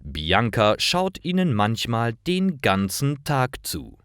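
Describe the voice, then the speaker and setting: Male narrator, very slow pace, lively intonation, careful enunciation, measured storytelling for learners. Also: medium pitch, clean tone, no echo, studio quality. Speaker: narrator of stories for German learners.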